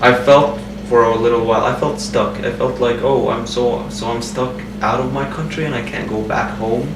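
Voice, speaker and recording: A man's voice: mid-range voice, steady tone, normal speed. A man in his twenties from Jordan, speaking in a personal interview in a hesitant way with many restarts and fillers. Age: 20s. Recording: roomy sound from a distant mic with background hum.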